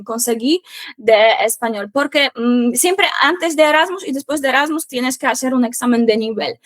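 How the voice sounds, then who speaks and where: A young woman with a Polish accent, her first language Polish, answers interview questions slowly, stopping often to hunt for the next word. Her voice sits a little high and the recording is dry and close, with no room sound. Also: a bit bright, no room sound. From Poland. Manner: halting, pausing often to search for words. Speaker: guest, Spanish teacher.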